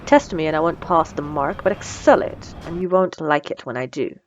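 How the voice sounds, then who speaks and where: A woman's voice: low voice, normal speed, dull audio, slight background noise, lightly acted. A woman playing a wry, menacing supervillain in a scripted audio roleplay, teasing and condescending, with cool threats. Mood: amused, warning. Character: wry, menacing female supervillain mentoring a captive assistant.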